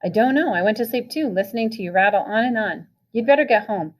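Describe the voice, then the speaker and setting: A woman reading the part of a gentle, quiet teenage boy. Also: a little high, lively intonation, fast, close to an everyday voice. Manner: soft-spoken.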